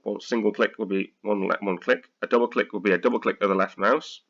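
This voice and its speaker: A British man with a slightly croaky voice, tutoring accounting students through a software lesson, hesitant with many ums and restarts. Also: fairly low pitch, fairly even intonation, fast talker, muffled recording. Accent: British English. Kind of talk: screen-recorded software tutorial.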